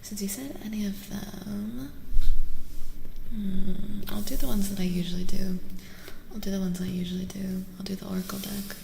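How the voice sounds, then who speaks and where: Soft-spoken woman with heavy vocal fry. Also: medium pitch, fairly even intonation. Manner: unhurried, often dropping into whispers; casual, playful, reacting to chat. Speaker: livestream host. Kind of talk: soft-spoken ASMR livestream chat. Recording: roomy echo, faint background noise.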